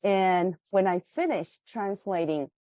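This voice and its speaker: Slow-spoken woman with a Japanese accent. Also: mid-range voice, dark tone, no room sound, muffled recording. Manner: thoughtful, restarts phrases while recalling. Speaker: guest. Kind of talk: interview over a video call.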